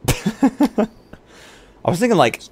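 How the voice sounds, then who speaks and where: A man streamer chatting casually on a livestream in a halting way, often restarting his sentences. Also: high voice, very animated, a little mellow, husky.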